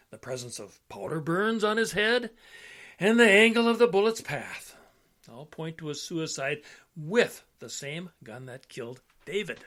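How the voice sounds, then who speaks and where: Animated high-pitched police lieutenant played by a man. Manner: emphatic, colloquial, argumentative. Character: opinionated, folksy male police lieutenant, sure of his theory. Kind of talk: old-time radio drama acting.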